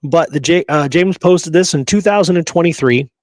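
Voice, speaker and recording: A man's voice: a little high, moderate pace, no room sound, clean studio sound. A man co-hosting a history discussion, talking conversationally and emphatically, drawing out key phrases and asking rhetorical questions. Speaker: history show co-host. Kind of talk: conversational debunking discussion of an old photograph.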